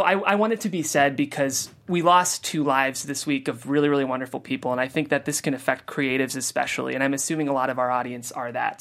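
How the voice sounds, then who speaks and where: A man's voice: mid-range voice, a bit bright. Earnest man hosting a podcast discussion. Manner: earnest, many "like" and "um" fillers. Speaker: podcast host. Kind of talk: casual discussion after a table read.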